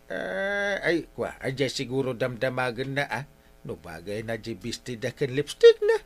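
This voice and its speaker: A man's voice: mid-range voice, wildly swooping pitch, normal speed. A man with an Ilocano accent playing a flustered, henpecked older village captain, stammering and exclaiming in comic drama. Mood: flustered, evasive. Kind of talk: broad comic radio-style drama acting.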